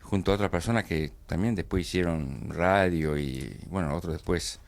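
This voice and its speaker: A man's voice: low-pitched, normal speed, gravelly. A man interviewing a guest in a small studio, hesitant and full of fillers and restarts. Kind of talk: conversational TV interview.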